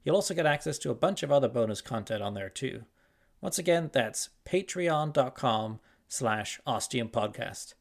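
A man's voice: mid-range voice, lively intonation, moderate pace, creaky. A man hosting a podcast, reading a scripted introduction to bonus content. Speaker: podcast host and creator.

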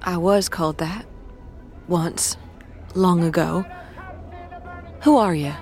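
A woman's voice: on the low side, slow, darkish tone, soft background music. A woman playing a young fantasy street worker in an audio drama, guarded yet caring, with street-wise phrasing.

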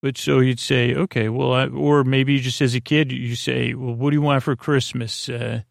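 A man hosting a podcast with a meandering, halting bedtime monologue, often losing his thread as he goes. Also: medium pitch, level tone, gravelly, no echo, studio quality. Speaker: podcast host. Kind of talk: rambling, improvised bedtime monologue.

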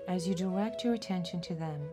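A woman leading a guided body scan meditation, giving gentle, measured instructions with deliberate pauses. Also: fairly low pitch. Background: music.

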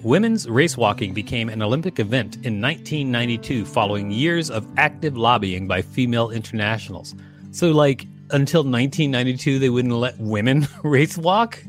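A man's voice: mid-range voice, lively intonation, fairly quick. Male podcast co-host, medium register, reads encyclopedia copy and comments casually, faint guitar music behind. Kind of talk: reading an encyclopedia article aloud with casual asides.

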